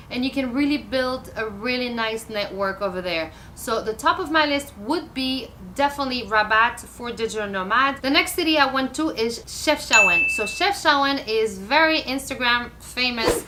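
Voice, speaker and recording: Woman, Moroccan accent, travel vlog, highish bright voice, upbeat direct address, some background noise. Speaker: travel vlogger. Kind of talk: vlog talk to camera.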